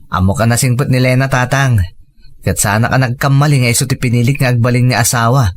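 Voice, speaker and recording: A man's voice: mid-range voice, fairly even intonation, fast talker, natural voice. A man playing a respectful, sincere adult son and husband in an audio drama.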